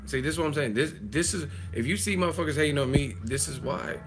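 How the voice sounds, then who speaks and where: A man hosting a live boxing commentary stream, hoarse from hours of talking, rambling loosely and profanely with lots of fillers. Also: on the high side, expressive, fast, music in the background, low-quality recording. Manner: rambling, loose and profane, with many fillers like "you know what I mean". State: hoarse, losing his voice.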